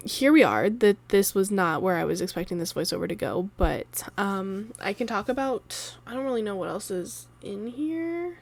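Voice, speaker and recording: A woman in her thirties with a raspy voice from a cold, chatting loosely and laughing in a planner voiceover. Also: medium pitch, lively intonation, normal speed, few pauses. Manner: rambling, chatty, laughs often. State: sore throat from a cold. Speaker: planner vlogger. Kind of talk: casual voiceover chat. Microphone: voiceover mic.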